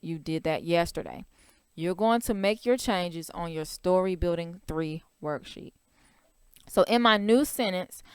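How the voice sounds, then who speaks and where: A young woman teacher talks young children through a writing worksheet, slowly and patiently, one step at a time. Her voice sits a little low and sounds smooth and clear. The recording is dry and close, with no room sound. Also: dry recording.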